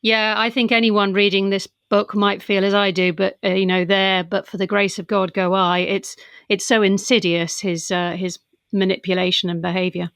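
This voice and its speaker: A woman's voice: medium pitch, flat delivery, normal speed, fairly bright tone, smooth, no room sound, studio quality. A woman hosting an interview, offering comments and questions to a guest. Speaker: interviewer, podcast host.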